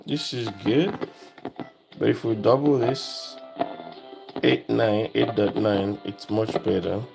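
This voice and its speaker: A man giving a casual, unscripted electronics demonstration, thinking aloud in short, halting phrases. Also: fairly low pitch, fairly even intonation, gravelly, music in the background, rough recording. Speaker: electronics hobbyist presenter.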